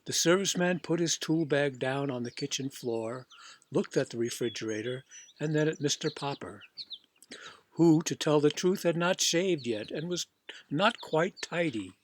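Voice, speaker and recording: A man reading a children's book aloud as expressive scripted narration. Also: fairly high pitch, moderate pace, a little hiss or hum. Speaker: audiobook reader.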